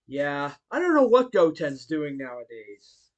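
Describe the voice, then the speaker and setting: A man acts out a grown martial-arts hero who teaches, bookish and friendly. His voice sits high for a man and swings animatedly up and down. He addresses the listener politely in an audio roleplay, pausing hesitantly between phrases. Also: big pitch swings, dull audio.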